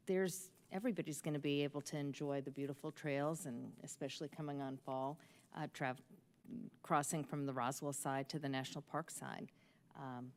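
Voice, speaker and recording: A woman with a very low voice speaks at a city council meeting. She is earnest and repeats words as she makes her point.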